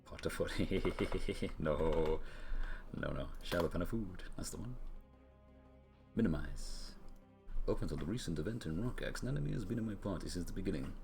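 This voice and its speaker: A man's voice: deep voice, creaky, a little mellow. A man livestreaming a role-playing video game, chatting casually to viewers and musing aloud in a rambling way. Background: video game music.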